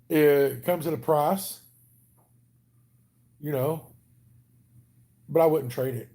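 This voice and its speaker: A man's voice: a little high, dark tone. A man hosting a casual live stream, chatting and answering viewers by name in an easygoing way. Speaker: channel host reading live chat.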